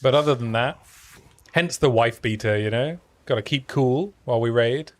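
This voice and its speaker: A man's voice: mid-range voice, moderate pace. A young man with a soothing voice, chatting casually with his viewers as he streams a game. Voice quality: soothing sleep-story voice. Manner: relaxed, chatty, answering chat between plays. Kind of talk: casual live-stream commentary while playing.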